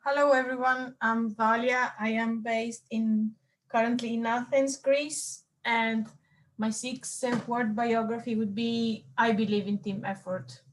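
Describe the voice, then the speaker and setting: A woman's voice: fairly high pitch, fairly even intonation, a little slow, poor audio. A woman introducing herself carefully on a video call. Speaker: participant.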